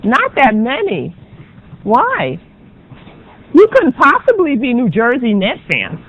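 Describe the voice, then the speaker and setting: A woman professor giving a classroom lecture in a loud, brisk, chatty way, with frequent ums. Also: sits high, wildly swooping pitch, slight background noise. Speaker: auditing professor. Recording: dull lo-fi lecture recording.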